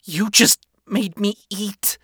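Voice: medium pitch, fairly even intonation, slow pace, a bit bright, husky, dry recording, clean studio sound, pitched above the performer's own voice, full character voice. Performer as a plaintive, defiant young princess. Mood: indignant. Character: young princess, a woman, shaken, indignant, defiant. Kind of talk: acted audiobook dialogue.

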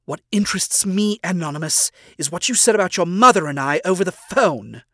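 A man's voice: sits high, animated, fairly quick, a bit bright, dry recording, studio quality, pitched above the performer's own voice, exaggerated voice. A man voicing a stern demon owl father who accuses and scolds his son, his lines rising into anger. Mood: smug probing. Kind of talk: audio fan story reading.

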